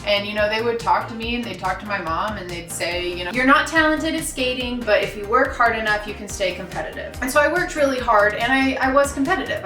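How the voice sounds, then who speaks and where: Deep-voiced woman, bright and roomy. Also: far from the mic. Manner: earnest, reflective, many "like" fillers. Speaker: sewing vlogger. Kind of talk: casual personal storytelling to camera.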